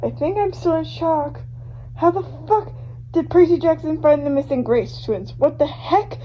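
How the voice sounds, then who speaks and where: A woman voicing excitable, exclamatory young social media fans in a dramatised reading, on a homemade recording. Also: high voice, moderate pace, voice pushed up, lightly acted. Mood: amazed. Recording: muffled, noisy amateur home recording.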